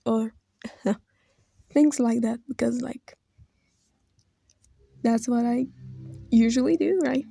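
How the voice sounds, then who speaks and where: A woman speaks slowly and haltingly, close to tears, often trailing into whispers. Her voice is slightly high but dark and gravelly, with a husky edge. The sound is dry and close. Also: steady tone. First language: Brazilian Portuguese. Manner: halting, hesitant, drifting into soft whispers. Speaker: video creator. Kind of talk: emotional personal tribute.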